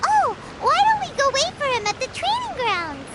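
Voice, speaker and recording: A woman voicing a tiny, excitable, childlike female companion in a video game, squeaky and exclamatory. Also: moderate pace, full character voice. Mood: worried, curious. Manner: exclamatory, refers to herself in third person. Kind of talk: video game character acting.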